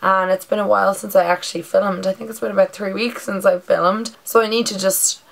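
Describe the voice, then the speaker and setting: A young Irish woman in her twenties, a bit hoarse from a sore throat, chatting casually through a product review in her bedroom. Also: mid-range voice, fairly even intonation, fairly quick. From Ireland. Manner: chatty and rambling, fillers like "like" and "um". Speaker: beauty vlogger. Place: bedroom indoors.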